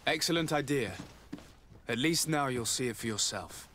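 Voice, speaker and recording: An actor playing a poised, commanding noble diplomat in a video game, speaking formally and politely. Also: male voice, mid-range voice, lively intonation, normal speed, a bit bright, a little hiss or hum, natural voice. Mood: formal, inquiring. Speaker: noble envoy. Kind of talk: video game dialogue acting.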